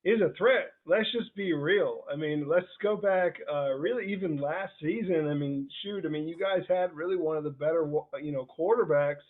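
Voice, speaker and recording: Higher-voiced man interviewer, tinny phone-like line. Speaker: interviewer and football scout. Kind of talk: casual sports interview. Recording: thin, narrowband phone-like call sound.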